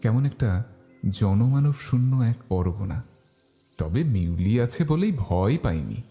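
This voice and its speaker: A man's voice: lowish voice, expressive, measured pace, mellow, faint music behind. A man narrating a scripted horror story in the first person.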